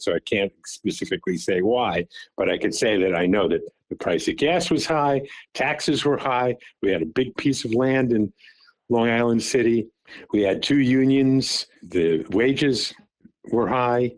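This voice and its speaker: An older man in his seventies talks on a phone call, his voice sitting low. He recalls things in a halting way, repeating words and restarting his sentences as he searches his memory. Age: 70s. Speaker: father recounting family business.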